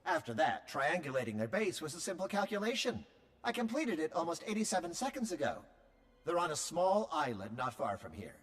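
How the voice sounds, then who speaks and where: An actor voicing a chatty droid in a video game, prim and cheerfully tactless. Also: male voice, fairly high pitch, sing-song, brisk, fairly bright tone.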